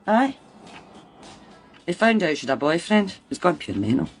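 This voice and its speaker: Blunt Scottish mother, low swooping voice. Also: very animated, measured pace, faint music behind. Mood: earnest, upset. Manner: blunt, emphatic, colloquial. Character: harassed, blunt working-class young mother. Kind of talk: radio crime drama acting.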